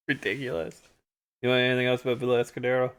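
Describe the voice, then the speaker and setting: A man co-hosting a livestream, chatting casually through stories and photos from a trip, animated when he retells moments. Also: mid-range voice, smooth. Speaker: livestream co-host. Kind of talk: casual storytelling chat over travel photos.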